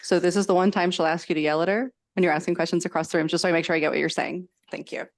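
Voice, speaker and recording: A woman's voice: on the low side, steady tone, rapid-fire. A woman legislator giving earnest, formal prepared testimony at a committee hearing, speaking into a desk microphone. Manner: earnest, reads steadily from prepared remarks.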